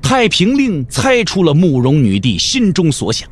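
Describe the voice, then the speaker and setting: A man's voice: a little high, huge pitch swings, moderate pace, faint music behind. A man narrating a wuxia audio drama in a dramatic, scripted storytelling style.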